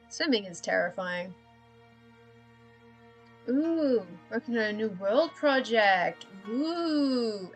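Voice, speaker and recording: A woman's voice: mid-range voice, sharp tone. A young woman from Australia with a slightly American-drifting Australian accent, chatting casually and rambling with self-deprecating laughs while drawing on a live stream.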